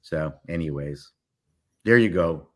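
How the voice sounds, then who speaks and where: A man's voice: low voice, takes it slowly, fairly bright tone. A middle-aged man giving opinions in casual chat as a stream panelist, with an emphatic manner.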